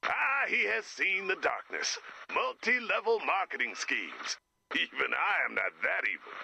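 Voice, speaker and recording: A man voicing a ruthless, pompous corporate werewolf in a video game, gravelly and slick, with a radio effect. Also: sing-song, measured pace, bright, forceful tone, a little hiss or hum, full character voice. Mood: appalled. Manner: pompous, slick business pitch. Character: charismatic, ruthless corporate werewolf executive, pompous and jargon-spouting. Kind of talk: video game character voice acting.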